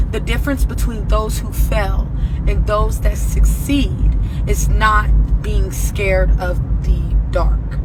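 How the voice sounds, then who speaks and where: A hoarse woman giving a casual, profane motivational message as a spiritual reader, often asking "right?". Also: mid-range voice. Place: at work, near traffic. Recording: clear traffic noise behind.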